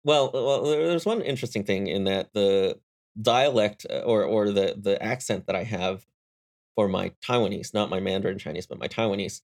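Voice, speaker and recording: A young adult man, a guest engineer, chatting in a relaxed interview and telling stories with frequent restarts and chuckles. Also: on the low side, moderate pace. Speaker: guest, engineer and startup founder. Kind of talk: casual interview conversation.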